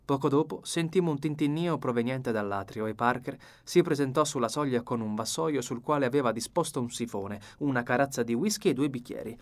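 A man's voice: mid-range voice, a little fast, hardly any pauses. A man narrating a first-person novel as an audiobook, reading from a script.

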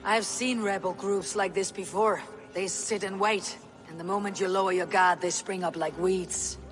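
An actress playing a blunt, resolute Viking warrior woman in a video game, speaking in measured phrases. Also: mid-range voice, fairly even intonation, close to an everyday voice. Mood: firm, insistent. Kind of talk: video game cutscene acting.

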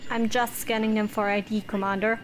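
A woman's voice: medium pitch, flat delivery, a little fast, soft background music, natural voice. A woman playing a dutiful, formal lieutenant in an amateur science fiction audio drama. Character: dutiful female lieutenant reporting scan results.

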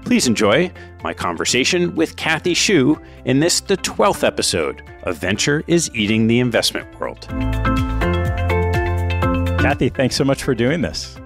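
A man hosting a podcast, reading a smooth scripted intro and ad before he asks interview questions. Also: medium pitch, big pitch swings, a little slow, over music. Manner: smooth, read intro with an ad segment. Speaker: podcast host.

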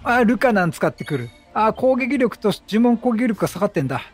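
High-pitched, animated man commentating a game. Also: brisk, soft background music. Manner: chatty, jokey, exclamatory, reacting out loud. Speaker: game streamer. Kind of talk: casual video game commentary.

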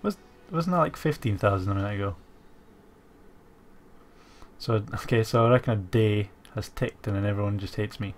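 A man's voice: on the low side, normal speed, pauses often, slight background noise. A man with a stuffy cold, musing aloud with fillers as he hosts strategy game commentary, with keyboard typing behind him. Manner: musing aloud while playing, many fillers. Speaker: gaming channel host.

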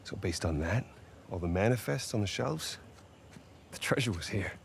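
A middle-aged man with an American accent playing a roguish, excitable older-brother treasure hunter in a video game, with a gravelly, teasing delivery. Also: fairly low pitch, normal speed, slight background noise. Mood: thoughtful. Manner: teasing, casual, enthusiastic. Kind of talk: video game cutscene acting.

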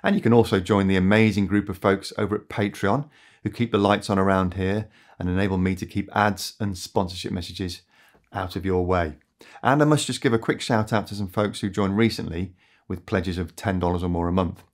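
A man in his fifties, hosting a scripted explainer to camera in a small home studio, measured and earnest. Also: low-pitched, fairly even intonation. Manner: measured, earnest and articulate, addressing viewers directly. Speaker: host of an educational climate and energy channel. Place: small home studio with shelves and lamps, indoors.

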